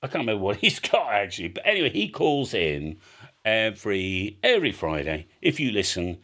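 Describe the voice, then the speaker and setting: Animated British man chatting about his hobby. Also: on the low side, a little fast. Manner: emphatic, rambling, blunt. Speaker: amateur radio video host. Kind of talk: casual explanatory chat.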